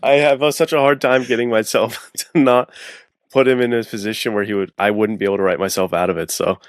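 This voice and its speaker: A man with a low voice talks casually as a podcast guest. He is enthusiastic and slangy and readily puts himself down. The sound is close and dry. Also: steady tone, a little fast, dry recording. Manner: enthusiastic, slangy, self-deprecating. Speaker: fiction author on a podcast. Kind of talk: casual chat.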